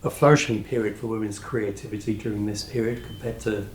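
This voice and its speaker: A man hosting an interview, putting measured questions to his guests. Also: on the low side, fairly even intonation. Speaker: interviewer, podcast host. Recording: echoey room, faint noise.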